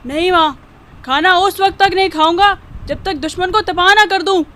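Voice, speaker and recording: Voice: very high voice, moderate pace, pushed and bright. An actor voicing a brave, defiant young boy in an earnest, declamatory Urdu radio drama style. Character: brave, defiant young boy, fiercely patriotic about his city.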